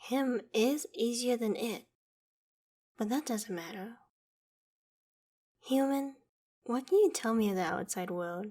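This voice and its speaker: Woman playing ancient female dragon, proud and vain, unhurried pace, formal theatrical diction, ASMR roleplay. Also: on the high side, mellow. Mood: hospitable, curious. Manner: formal, haughty, theatrical phrasing. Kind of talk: ASMR roleplay audio drama.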